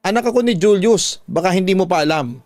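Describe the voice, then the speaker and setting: A man's voice: high-pitched, expressive, brisk, darkish tone, no echo, pitched above the performer's own voice. A man voicing a fierce, protective young woman in a radio drama, scolding in an indignant, emphatic way. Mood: furious, commanding. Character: fierce, protective young woman confronting her father's mistress. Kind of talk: Tagalog radio drama acting.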